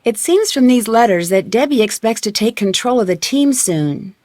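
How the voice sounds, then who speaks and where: A woman playing a curious, confident young amateur detective in a video game, speaking in a clear, inquisitive, polite and self-assured way. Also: fairly high pitch, animated, moderate pace, dark tone, dry recording, studio quality, close to an everyday voice. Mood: friendly, businesslike.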